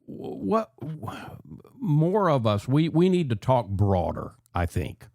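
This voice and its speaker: A man's voice: medium pitch, big pitch swings, slow, a little mellow, no echo, clean studio sound. A man hosting a conversational radio interview, affirming his guest and thinking aloud as he frames questions. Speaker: radio show host.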